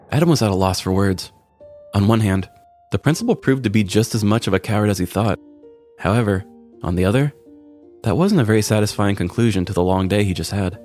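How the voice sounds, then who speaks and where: A man's voice: on the low side, darkish tone. A man reading a scripted comic story as a podcast storyteller.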